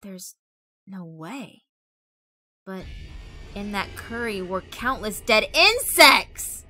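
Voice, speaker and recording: A woman's voice: mid-range voice, sing-song, takes it slowly, sharp tone, no echo, exaggerated voice. A woman voicing a tense, stubborn schoolgirl in a dramatic horror visual novel reading. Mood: horrified. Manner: intense, defiant, dramatic. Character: Midori, tense, stubborn schoolgirl lead of a play. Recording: background music under the voice.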